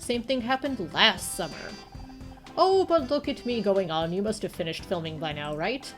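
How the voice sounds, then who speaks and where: A woman's voice: mid-range voice, close to an everyday voice. Hapless, friendly security guard voiced by a woman, chatty and folksy, game character with music bed. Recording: music behind the voice.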